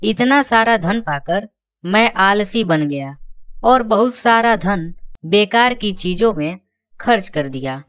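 A woman's voice: mid-range voice, no room sound. A woman voicing a regretful young man who squandered his riches, confessing respectfully in a cartoon moral story. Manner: slow, rueful, respectful confession.

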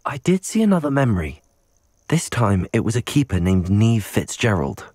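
A man's voice: lowish voice, very animated, moderate pace, a little mellow, no room sound, studio quality. An actor playing an earnest young wizard student who reports urgent news to his elders.

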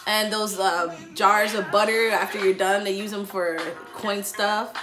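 A woman's voice: medium pitch, fairly even intonation, unhurried, music in the background. A young woman with a nasal, congested voice from the flu, giggling often as she reacts to clips from her home. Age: young adult. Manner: giggly, laughs often between remarks. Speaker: YouTube reaction host. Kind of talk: casual reaction commentary. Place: small room at home, indoors.

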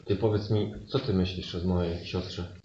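A low male voice plays a blunt, bewildered younger brother acted by a man in improvised comedy. He fires colloquial questions, heard muffled and echoey on a stage recording. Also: fairly even intonation, normal speed, recorded from a distance, noisy background, natural voice. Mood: curious.

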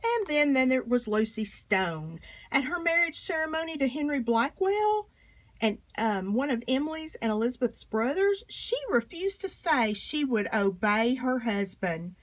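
High-voiced woman reading a storybook aloud. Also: big pitch swings, a little slow, poor audio. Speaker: storybook reader. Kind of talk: reading a children's picture book aloud.

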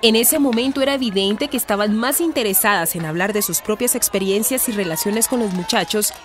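A woman's voice: medium pitch, fairly quick. A woman with a Colombian accent reading scripted narration for a radio drama.

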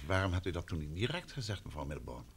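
An actor playing a polite, probing gentleman investigator in a vintage radio play, patient and attentive. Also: low voice, big pitch swings, gravelly, husky, full character voice. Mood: courteous, probing. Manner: polite, questioning, measured.

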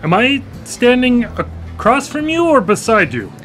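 Male actor as awkward newly blind guy; stammering, wry, lively pitch. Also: measured pace, faint music behind, heavily acted. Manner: hesitant and stammering, wry humor. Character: newly blind man, awkward, self-deprecating. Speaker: lead character in a radio drama. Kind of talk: live radio drama acting. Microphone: studio microphone.

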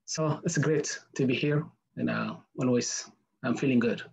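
A man from the group speaking haltingly, searching for words, over a video call. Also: medium pitch, creaky, husky, poor audio. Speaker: community member. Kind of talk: casual chat.

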